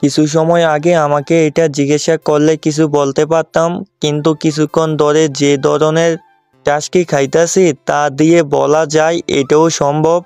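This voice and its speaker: A man's voice: a little high, flat delivery, moderate pace, a little mellow, clear, resonant tone, dry recording, faint music behind, natural voice. A man playing a flabbergasted young colleague in a comic romantic audio story. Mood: dazed.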